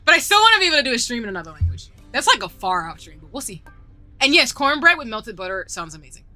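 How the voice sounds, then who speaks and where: A woman's voice: mid-range voice, pushed and bright. A young woman with a Southern US accent chatting casually on a live stream while gaming, giggly and rambling with lots of fillers. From southern United States. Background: faint background music.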